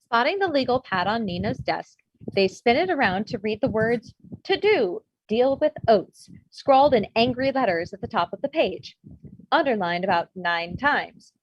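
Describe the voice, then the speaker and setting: A woman's voice: on the high side, expressive, normal speed, husky, no echo, highs cut off. A woman reading screenplay stage directions as scripted narration for a table read. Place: indoors, remote virtual table read.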